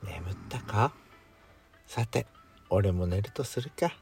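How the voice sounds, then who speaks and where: A man's voice: fairly low pitch, animated, takes it slowly, creaky, pitched below the performer's own voice, full character voice. Man playing a doting, dry male lover, music behind. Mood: soothing. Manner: gentle, dry, masculine wording. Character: gruff but doting male lover, calm and indulgent. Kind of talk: intimate bedtime audio drama.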